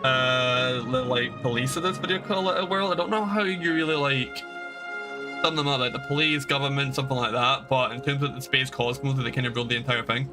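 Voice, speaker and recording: A man's voice: medium pitch, a little fast, over music. A man streaming a game, chatting informally in a rambling way and repeating himself as he explains. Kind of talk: casual stream chat and commentary.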